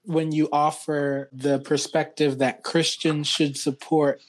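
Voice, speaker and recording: A man hosting a podcast, asking measured, probing questions. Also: on the high side, flat delivery, slight background noise. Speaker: podcast host. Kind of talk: interviewing on a podcast.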